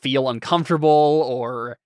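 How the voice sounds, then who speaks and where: A man's voice: medium pitch, moderate pace, no room sound, clean studio sound. A man as game master of a tabletop game, talking casually with players, with many fillers and restarts. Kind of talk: casual game chat, reading chat.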